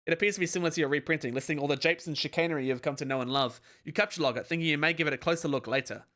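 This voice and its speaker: A man streamer reading a webcomic aloud on a live stream in a calm, relaxed reading voice. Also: a little high, rapid-fire.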